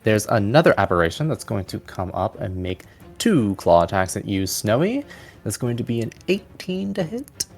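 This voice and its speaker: A man's voice: lowish voice, big pitch swings, normal speed, gravelly, darkish tone. A man narrating a tabletop fantasy game as its game master. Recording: music playing behind. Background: background music.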